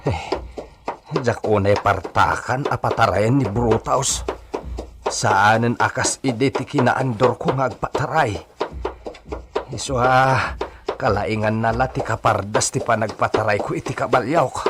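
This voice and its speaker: A man's voice: medium pitch, unhurried, music in the background, natural voice. A man playing a humble, eager hacienda servant in a radio drama, speaking deferentially and hesitantly to his master. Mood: boastful, excited.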